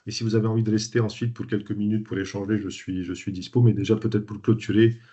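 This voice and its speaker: Male coach from Lebanon, lowish voice, hesitant presenter style, muffled call audio. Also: little pitch movement, fast talker, mellow. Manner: hesitant, many fillers and restarts. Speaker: coach and trainer hosting a webinar. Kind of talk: informal presentation to an online group. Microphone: video call. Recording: dull lo-fi video call audio.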